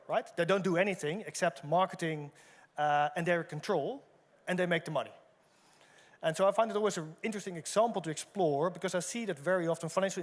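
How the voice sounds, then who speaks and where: A fast-talking man on a conference stage keeps drawing in the crowd and tacking "right?" onto his points. His voice is a touch higher than most men's and rings with the long reverb of a big hall. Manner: quick, animated, asks the audience questions, repeats "right?". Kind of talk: on-stage conversation.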